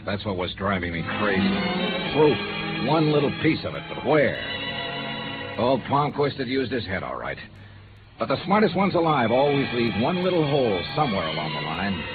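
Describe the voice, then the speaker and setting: A man's voice: mid-range voice, very animated, moderate pace, close to an everyday voice. A man playing a hard-boiled, persistent insurance investigator in 1950s radio noir, speaking in a clipped, wry, insistent way. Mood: brooding. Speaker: radio drama lead actor and narrator. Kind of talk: 1950s radio noir acting, first-person narration. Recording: tinny old radio broadcast with music.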